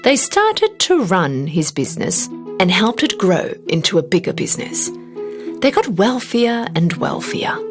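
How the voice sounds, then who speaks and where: A woman reading a scripted children's fairy tale as an expressive storybook narrator. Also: mid-range voice, a little slow, over music.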